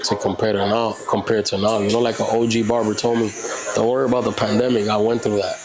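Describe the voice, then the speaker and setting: A man's voice: on the low side, level tone, fast, low-quality recording. A man in his thirties, a native Spanish speaker from Puerto Rico, talking casually as a barber in an interview, rambling and often saying "you know". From Puerto Rican. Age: 30s.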